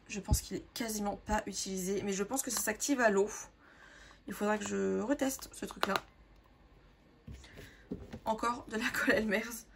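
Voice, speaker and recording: A young woman with a sore throat and a hoarse voice, chatting casually to viewers as she sorts her makeup, rambling with plenty of fillers. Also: fairly high pitch, noisy background. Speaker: makeup artist vlogger. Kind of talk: casual sorting vlog, talking to viewers.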